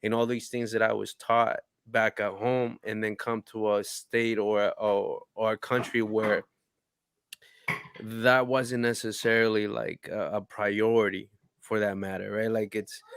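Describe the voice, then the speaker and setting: A man talking in a casual podcast conversation, reflective and halting, often restarting his sentences. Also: fairly low pitch, a bit bright, clean tone, no echo, clean studio sound.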